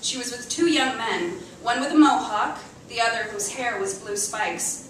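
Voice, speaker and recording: A woman's voice: on the high side, normal speed. A woman reading a short story aloud dramatically and expressively at a live literary event. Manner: expressive, acting out chants and dialogue. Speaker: reader at a live literary show. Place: indoor cafe venue reading before an audience. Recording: echoey live venue, distant mic, faint noise.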